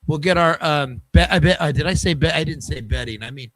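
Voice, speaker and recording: A man's voice: on the high side, brisk, a bit bright, no echo. Guy hosting a sports show, easygoing chatter, dry close mic. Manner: loose, rambling and friendly. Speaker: podcast host. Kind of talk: casual sports show chat.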